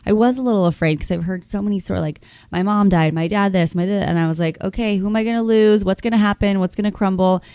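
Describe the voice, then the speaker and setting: A woman's voice: mid-range voice, quick pace, few pauses, a little mellow, clean tone, dry recording. A woman of about thirty hosting a podcast, who talks in a thoughtful, rambling way with many fillers. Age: 30s. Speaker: podcast host. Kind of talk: casual conversation.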